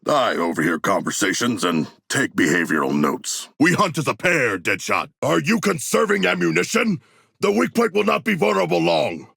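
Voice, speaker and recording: An actor playing a huge, eloquent humanoid shark warrior in a video game, earnest and fierce, with a gravelly voice and stilted, formal diction. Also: male voice, a little high, sharp tone, no echo, studio quality, heavily acted. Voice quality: gravelly, rough. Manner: formal, stilted, precise diction with big words. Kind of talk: game combat barks and dialogue.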